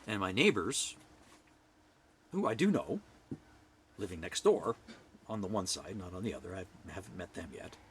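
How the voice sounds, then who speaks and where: A middle-aged man from Canada with a loud voice, ranting bluntly to camera and swinging from hushed whispers to forceful outbursts. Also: lowish voice, a little hiss or hum. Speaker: vlogger. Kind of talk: personal rant to camera.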